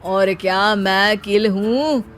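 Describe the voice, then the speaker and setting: A woman's voice: medium pitch, slow, soft background music, natural voice. A woman voicing a lazy, cheeky young husband who declaims silly rhymes theatrically in a comic cartoon story. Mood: boastful, dramatic.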